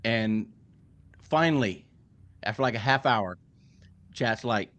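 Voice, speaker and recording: A man in casual conversation, telling an anecdote in a relaxed, easygoing way. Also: fairly low pitch, takes it slowly, highs cut off.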